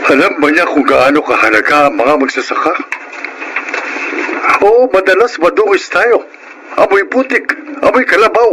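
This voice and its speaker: A man's voice: high voice, moderate pace, close to an everyday voice. An actor playing a proud, hardworking middle-aged farmer father in a Filipino radio drama, righteous and indignant. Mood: wounded pride. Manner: forceful, righteous, rhetorical questioning. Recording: faint background noise.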